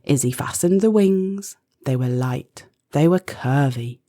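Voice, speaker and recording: A woman narrating a rhyming children's storybook expressively, with deliberate, dramatic phrasing. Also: low voice, lively intonation, warm tone, no echo, studio quality.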